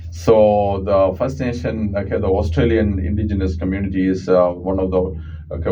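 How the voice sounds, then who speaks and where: A man's voice: lowish voice, dark tone, far from the mic, muffled recording, slight background noise. A man from Kerala with a South Indian accent and Malayalam as his first language, explaining things earnestly as a guest on a radio interview, with frequent "uh" and "okay" fillers. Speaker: audiologist guest. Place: radio studio.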